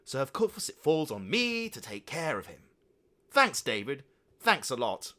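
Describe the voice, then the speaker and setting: A man's voice: medium pitch, sing-song, a little slow, bright, forceful tone, pitched above the performer's own voice. A man voicing an exasperated mother who scolds sarcastically. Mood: furious.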